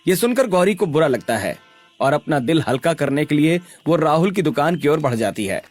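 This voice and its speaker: A man's voice: fairly high pitch, big pitch swings, normal speed. A man narrating a scripted story in a dramatic storytelling style.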